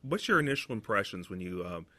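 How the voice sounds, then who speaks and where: Bright-toned low male host. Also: very animated, fast, no room sound. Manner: casual, interviewing, with fillers. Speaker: podcast host, moderator. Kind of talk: roundtable discussion.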